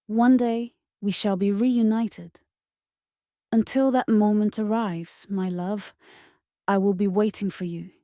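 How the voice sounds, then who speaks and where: A woman playing a tender, devoted queen who speaks from the afterlife in a gentle, formal dramatic monologue. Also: medium pitch, no echo, clean studio sound, lightly acted.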